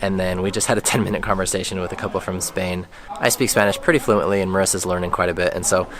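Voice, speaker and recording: A man's voice: deep voice, fairly even intonation, fast talker, a crowd in the background. A young American man talking casually as a travel vlogger. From United States. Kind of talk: casual vlog chat. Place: outdoors in a town. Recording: outdoor ambience with clear background noise.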